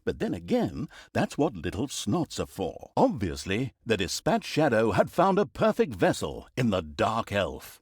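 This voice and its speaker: An actor playing a wry, mischievous storyteller in a fantasy game, narrating in a theatrical, mock-dramatic, sardonic manner. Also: male voice, medium pitch, darkish tone, breathy edge, no echo, studio quality. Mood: sarcastic. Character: wry, mischievous male storyteller mocking an evil fantasy saga.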